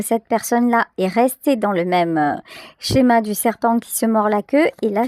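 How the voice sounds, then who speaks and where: A woman giving a tarot reading straight to the listener, reflective and pausing to search for her words. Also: fairly high pitch, a little mellow, no echo. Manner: hesitant, reflective, searching for words with pauses and repetitions. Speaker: tarot reader, channel host. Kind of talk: intuitive tarot reading addressed to the viewer.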